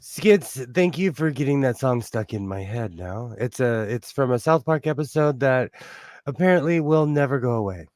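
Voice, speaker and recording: A middle-aged man hosting a casual live stream, chatting and laughing often with quick asides. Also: medium pitch, lively intonation, a little mellow, dry recording, clean studio sound. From Mexican-American family. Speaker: livestream host.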